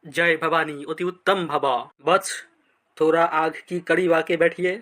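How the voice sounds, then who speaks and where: A man's voice: a little high, little pitch movement, moderate pace, a bit bright, lightly acted. A man playing a pompous fake guru in a cartoon comedy, speaking in solemn blessings and chants. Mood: pompous, pious. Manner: pompous, chanting, repeating devotional catchphrases. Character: fake holy man, pompous male guru feigning meditation.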